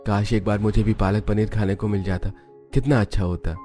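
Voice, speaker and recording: A man's voice: lowish voice, steady tone, a little fast, warm tone, faint music behind, lightly acted. A man voicing a weary, hardworking poor labourer devoted to his family in a cartoon drama. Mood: resigned, wistful.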